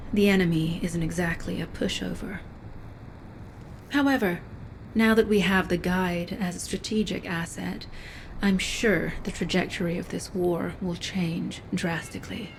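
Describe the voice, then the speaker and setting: A low-voiced, composed female operative. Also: slight background noise, poor audio. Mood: cool, welcoming. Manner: cool, businesslike, assured. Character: composed, confident female special-forces operative. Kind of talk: scripted game cutscene acting.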